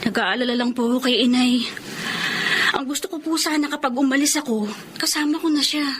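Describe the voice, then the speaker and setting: A woman's voice: sits high, fairly even intonation, brisk, fairly bright tone, slight background noise. A woman playing a worried, dutiful young daughter in a Filipino radio drama, polite and earnest. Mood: worried, respectful.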